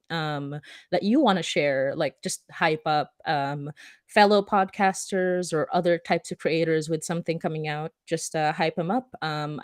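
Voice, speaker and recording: A woman with a fairly low voice hosts a live podcast panel. She talks casually and effusively, in an informal, chatty way. The sound is dry, with no room echo.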